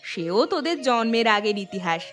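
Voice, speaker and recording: A woman's voice: medium pitch, very animated, fairly quick, fairly bright tone. A woman voicing a middle-aged village auntie who reminisces knowingly in a fairy tale cartoon. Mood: nostalgic, grave. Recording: music under the voice.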